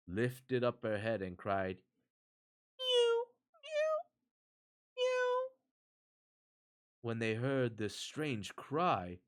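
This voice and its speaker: A man narrating a fairy tale aloud as a storyteller, with measured, dramatic phrasing that drops at line endings. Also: on the low side.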